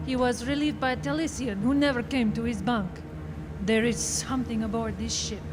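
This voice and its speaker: Woman as a frightened, high-pitched ship's mate. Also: steady tone, normal speed, natural voice. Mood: uneasy, fearful. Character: frightened, superstitious ship's mate on night watch. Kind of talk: dramatic radio acting. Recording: noisy ambience behind the voice.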